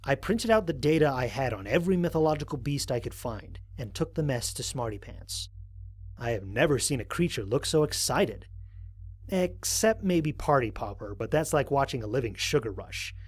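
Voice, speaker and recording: Man as a measured, thoughtful space explorer. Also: medium pitch, expressive, husky. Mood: reflective, wary. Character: thoughtful, wary male space explorer recording mission logs. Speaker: narrator of a fan audio drama. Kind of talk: scripted first-person mission log narration.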